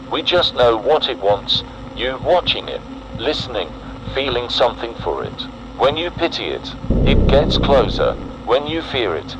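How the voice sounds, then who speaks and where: Low-voiced man, ominous emergency-broadcast narration, noisy recording. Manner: solemn, deliberate, ominous. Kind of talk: scripted analog horror emergency-broadcast narration.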